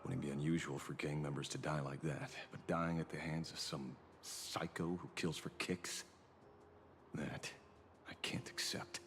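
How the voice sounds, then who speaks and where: An actor playing a suave, theatrical adult male detective in a video game, smooth and teasingly amused. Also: a bass voice, little pitch movement, unhurried, a bit bright, slight background noise, heavily acted. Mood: grim. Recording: game audio under faint music.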